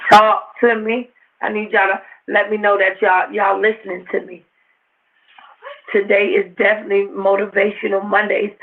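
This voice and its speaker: The audio is narrow and phone-like. A woman with a slightly hoarse, raspy voice of medium pitch hosts a casual motivational talk. She addresses her viewers directly and emphatically, often repeating key phrases. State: a little hoarse. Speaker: host of a motivational talk show. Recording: tinny, phone-like narrow-band livestream audio.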